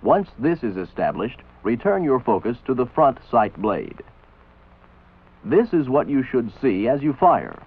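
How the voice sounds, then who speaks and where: A man's voice: medium pitch, sing-song, slightly rough. Tinny old training-film American male narrator. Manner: authoritative, measured, didactic. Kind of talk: scripted instructional narration. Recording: tinny band-limited old film soundtrack. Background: voice-over for an old military training film.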